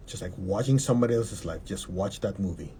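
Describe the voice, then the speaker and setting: Low, mellow man guiding a meditation. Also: a little hiss or hum. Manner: calm, measured, repeating short instructions. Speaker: meditation guide. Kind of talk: guided meditation.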